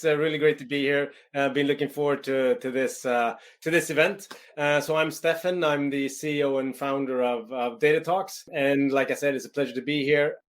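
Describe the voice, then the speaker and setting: A man in his forties, a company founder, introducing himself on a live stream with enthusiasm and many restarts. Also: mid-range voice. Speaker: CEO and founder, co-author.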